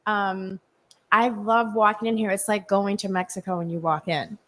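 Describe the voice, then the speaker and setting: A woman co-host speaks with open enthusiasm at a middle pitch. She praises the place in easy, casual chat. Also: steady tone, moderate pace. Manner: enthusiastic, warmly effusive praise.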